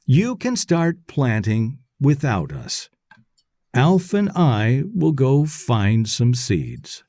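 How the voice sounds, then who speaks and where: A man voicing a practical, determined young girl in a children's storybook, with plain, deliberate phrasing. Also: medium pitch, wildly swooping pitch, warm tone, dry recording, studio quality, heavily acted. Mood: resolute.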